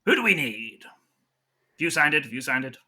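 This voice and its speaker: Very bright, forceful man. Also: medium pitch, sing-song, normal speed. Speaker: player in a roleplay podcast. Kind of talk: improvised comedy roleplay.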